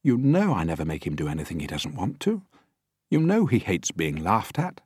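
A man's voice: low voice, wildly swooping pitch, normal speed, a little mellow, slightly rough, dry recording, studio quality, exaggerated voice. A man voicing a stubborn, defiant young village girl in a storybook, firm and protesting.

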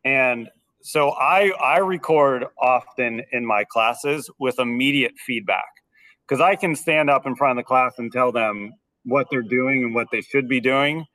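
A man's voice: medium pitch, measured pace, pushed and bright, distant mic, rough recording. A man in his thirties who is a school band director, talking through his teaching in a podcast interview, with a slightly nasal voice and frequent repeated words as he starts his sentences.

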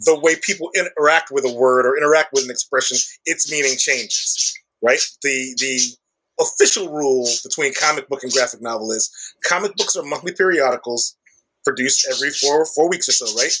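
Husky middle-aged man, chatty. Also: a little high, pushed and bright, rough recording. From Chicago, USA. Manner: chatty, explanatory, peppers speech with "like" and "right?". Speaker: comic shop owner, guest. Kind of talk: conversational podcast interview.

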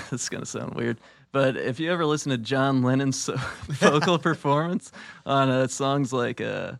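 A man's voice: medium pitch, moderate pace, vocal fry, no room sound. A man co-hosting a casual chat, rambling and hesitant with many fillers.

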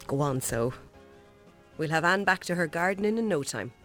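An actress playing a friendly Irish visiting nurse in a radio drama, reassuring and gently probing, with a lightly teasing manner. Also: female voice, fairly low pitch, expressive, moderate pace, soft background music. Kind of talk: naturalistic radio drama acting.

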